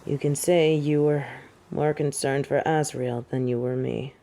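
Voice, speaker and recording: A woman's voice: extremely low pitch, steady tone, measured pace, mellow. A woman playing a proud, imperious angel in a fantasy audio drama, with formal, solemn and haughty diction. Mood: guarded. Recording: roomy echo, distant from the mic.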